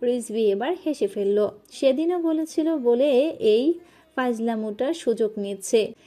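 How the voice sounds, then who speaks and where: A woman storyteller narrating a scripted romance. Her voice is mellow and slightly high, and her reading is expressive and emotive. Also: measured pace.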